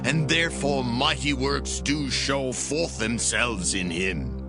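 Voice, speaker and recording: A man's voice: medium pitch, slow pace, lightly acted. A man playing a grand, indulgent king in a dramatized scripture production, speaking in a declamatory way. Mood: troubled. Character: King Herod, grand, indulgent male ruler. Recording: music behind the voice. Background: prominent background music.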